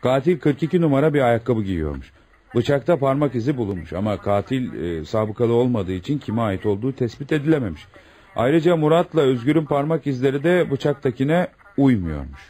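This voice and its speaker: A fast-talking, dutiful policeman. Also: mid-range voice, sing-song, dark tone, slightly rough. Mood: dutiful, reporting. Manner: obedient, formal, reporting. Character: dutiful, deferential male police subordinate reporting in. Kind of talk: radio theatre acting.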